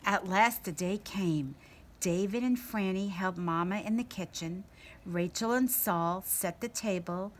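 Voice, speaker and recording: A woman's voice: medium pitch, measured pace. Woman reading a storybook over background noise. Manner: expressive, acts out the dialogue. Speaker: story reader. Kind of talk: read-aloud children's storybook narration.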